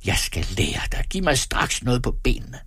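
A miserly, blustering husband from a storybook, acted out by a man. The voice is husky and very animated, swinging widely in pitch. He complains grandly and theatrically, full of self-pity and temper, in a dry, close recording. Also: no echo, heavily acted. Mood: angry, self-pitying. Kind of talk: dramatised storybook reading.

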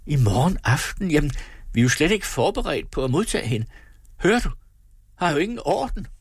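A man voicing a sharp-tongued, scornful wife in a storybook reading, blunt and needling in manner. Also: fairly low pitch, slightly rough, no echo, studio quality. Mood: exasperated.

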